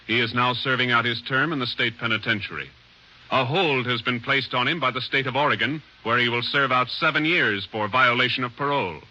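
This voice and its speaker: A man reading formal announcements in a measured, declamatory old-time radio announcer style. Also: medium pitch, bright, forceful tone, highs cut off. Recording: vintage radio broadcast with music.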